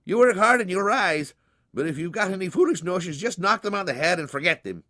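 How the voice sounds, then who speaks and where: A man's voice: sits high, expressive, fairly quick, bright, forceful tone, pitched above the performer's own voice. A man voicing a stern, self-important rich merchant in a storybook, with a pompous, emphatic way of talking.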